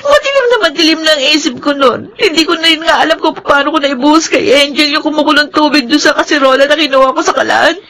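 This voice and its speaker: A woman's voice: high voice, quick pace, hardly any pauses, pushed and bright. A woman playing a distraught, remorseful mistress in a radio melodrama, sobbing and pleading. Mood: sobbing confession. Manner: sobbing, pleading, shaky delivery. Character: distraught, remorseful mistress confessing to killing a child. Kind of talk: melodramatic radio drama acting.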